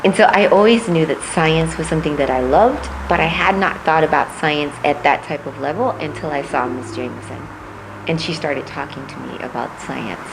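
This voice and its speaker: A middle-aged woman from Puerto Rico, raised in Missouri, answering interview questions as a political candidate, measured and reflective with frequent pauses. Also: low voice, gravelly, background noise.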